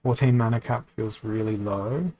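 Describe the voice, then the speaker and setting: A man's voice: fairly low pitch, steady tone, normal speed, warm tone, a little hiss or hum. A man live-streaming a card game, chatting casually with his viewers, rambling with frequent ums and restarts. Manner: reads and answers viewer chat.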